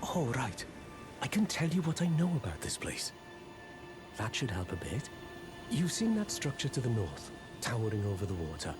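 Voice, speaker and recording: Fantasy game role: hapless apprentice sorcerer, meek and apologetic, very lively intonation, music underneath. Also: male voice, medium pitch, normal speed. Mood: meek, grateful. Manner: apologetic, self-deprecating, hesitant. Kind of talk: video game character dialogue.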